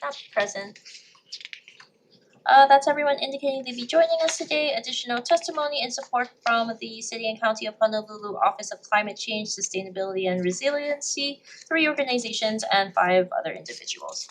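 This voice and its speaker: A woman running a legislative hearing, calling testifiers in a brisk, businesslike way. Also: a little high, slight background noise, low-quality recording. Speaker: committee clerk or chair calling testifiers. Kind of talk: brisk procedural announcements.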